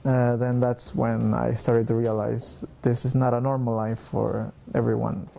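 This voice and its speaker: A man in his twenties from El Salvador, a native Spanish speaker, talking in a reflective, soft-spoken way in an interview. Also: medium pitch, flat delivery, measured pace, gravelly, slight background noise. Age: 20s.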